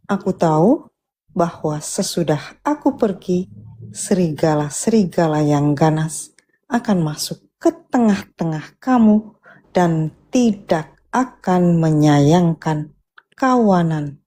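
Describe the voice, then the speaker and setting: A woman reading Bible verses aloud deliberately, one phrase at a time. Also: low-pitched, mellow. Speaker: scripture reader assisting the preacher.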